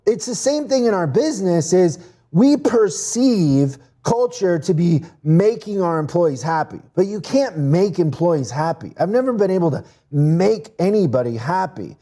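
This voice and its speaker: A man's voice: high voice, big pitch swings, measured pace, mellow. A man who grew up in Mexico, a podcast guest talking business in an earnest, casual way and often restarting his words. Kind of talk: casual interview talk.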